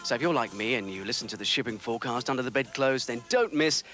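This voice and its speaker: Cast note: an actor as a joking local radio DJ, animated delivery, light music under. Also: male voice, mid-range voice, fast, close to an everyday voice. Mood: breezy. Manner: jokey patter, teasing. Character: breezy male local radio DJ, quick-witted. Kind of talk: 1980 TV drama acting.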